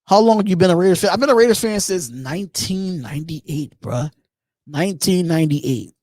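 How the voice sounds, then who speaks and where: A man in his thirties hosting a live call-in show on his own, talking casually, repeating phrases and pausing on drawn-out ums while he reads out chat comments. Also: high-pitched, expressive, darkish tone, no echo, clean studio sound. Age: 30s. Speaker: host.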